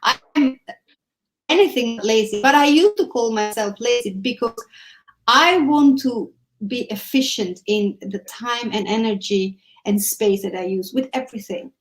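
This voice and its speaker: A woman's voice: fairly high pitch, normal speed. A woman from Italy with an Italian accent, a guest speaking with enthusiasm in a casual conversation. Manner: enthusiastic, animated.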